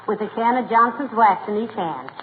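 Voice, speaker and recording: An actress playing a sensible, dryly sarcastic, gently scolding wife in 1940s radio comedy style. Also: female voice, medium pitch, expressive, a little fast, narrow, tinny audio, natural voice. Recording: scratchy, noisy 1940s radio recording.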